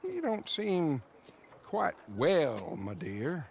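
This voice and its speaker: A smarmy, self-righteous villain from a western game. His courtesy is florid and false, swinging widely in pitch from a fairly high register. There is clear ambient noise behind him. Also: wildly swooping pitch, extremely slow, a little mellow, full character voice. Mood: condescending. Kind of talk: cinematic western game acting.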